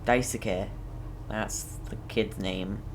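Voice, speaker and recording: A woman's voice: a bass voice, steady tone, slow, background noise. A woman giving casual live commentary as she plays a game as a streamer.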